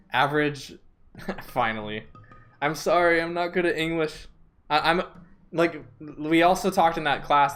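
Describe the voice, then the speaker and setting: A man's voice: medium pitch, a bit bright. Rambling young man streaming a game. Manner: rambling, reads game text aloud, stumbles over names. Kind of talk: casual let's-play chat.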